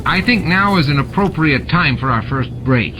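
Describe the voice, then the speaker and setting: A man's voice: a little high, lively intonation, moderate pace, background noise, voice pushed up, lightly acted. A man voicing a scheming, impatient alien barkeep who runs a gambling game, bossy and falsely cheery. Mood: forced cheer.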